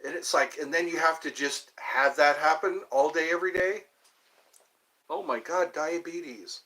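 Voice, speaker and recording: A man's voice: on the high side, a little slow, bright, forceful tone, low-quality recording. Rambling, joking man in his fifties. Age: 50s. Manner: rambling, joking, self-deprecating. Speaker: livestream host. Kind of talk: casual chat answering viewers.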